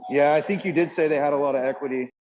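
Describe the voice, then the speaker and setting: A quick, talkative man joins the video call, his voice pitched a bit high. He speaks casually and loosely, and his audio comes through dull and lo-fi. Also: fairly even intonation, a little mellow. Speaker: real estate business partner.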